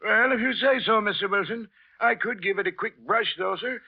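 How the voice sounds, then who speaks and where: An actor playing a genial, deferential night sweeper in an old-time radio drama, speaking in a folksy, hesitant, respectful way. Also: male voice, sits high, moderate pace, gravelly. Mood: deferential, concerned. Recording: muffled old radio recording.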